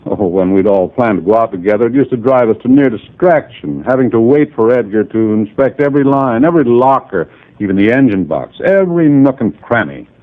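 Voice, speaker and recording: An actor playing a precise, self-assured inventor in a 1950s radio drama, measured and a little formal. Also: male voice, mid-range voice, animated, close to an everyday voice. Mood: composed. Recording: lo-fi old radio broadcast.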